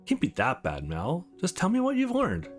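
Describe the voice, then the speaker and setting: A man's voice: sits high, huge pitch swings, moderate pace, soft background music, pitched above the performer's own voice. A man voicing a concerned young protagonist in a visual novel, casual and wry in manner. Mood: reassuring.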